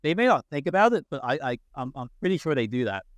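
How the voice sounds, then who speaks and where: A man's voice: medium pitch, big pitch swings, fast, no echo, studio quality. A man, a guest on a podcast, talking enthusiastically in casual conversation, with lots of fillers and restarts.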